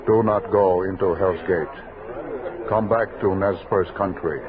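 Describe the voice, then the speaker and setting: Slow, deep, solemn guide. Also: male voice, fairly even intonation, a crowd in the background. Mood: grave warning. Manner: slow, solemn, clipped broken English. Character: grave, loyal male Nez Perce guide, fearful for his friend. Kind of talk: 1960s radio western acting. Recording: muffled old radio recording, background noise.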